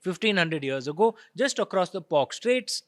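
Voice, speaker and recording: A man's voice: sits high, expressive, moderate pace, fairly bright tone, dry recording, clean studio sound. A man with an Indian accent explaining his views in an interview, emphatic and argumentative, often checking in with rhetorical questions. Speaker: author of historical fiction, interview guest.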